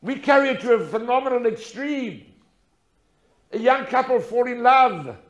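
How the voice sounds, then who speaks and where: A man's voice: extremely high pitch, animated, moderate pace. An older man telling stories as a lecture, in a dramatic, emphatic manner with rhetorical questions and repetition. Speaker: speaker telling a personal story. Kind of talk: storytelling lecture to an audience.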